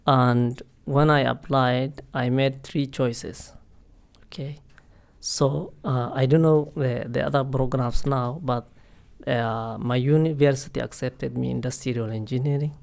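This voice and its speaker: A man guesting on a podcast, halting as he speaks in a middle-pitched voice. He leans on "you know" and "uh" over and over, keeping the chat casual and searching. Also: fairly even intonation, creaky, darkish tone. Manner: hesitant, heavy use of "you know" and "uh" fillers. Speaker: guest, international student office staff.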